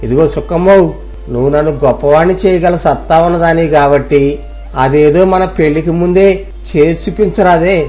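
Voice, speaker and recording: An eager, naive young village farmer, played by a man, who wants the whole village to praise him. He speaks in a slightly raised voice, coaxing and plain. Soft music plays under the storybook dialogue. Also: fairly high pitch, fairly quick, natural voice. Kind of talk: storybook voice acting.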